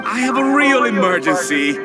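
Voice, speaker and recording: A man's voice: high-pitched, very animated, moderate pace. A man playing an anxious, flustered merchant in a video game, pleading and protesting hesitantly. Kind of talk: video game character acting. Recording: game music under the voice. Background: music.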